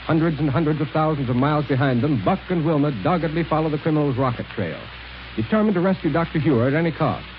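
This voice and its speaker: A male announcer narrates in dramatic 1930s radio serial style. His voice sits a bit high. The recording is dull, with faint noise. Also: quick pace. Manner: dramatic, story-telling.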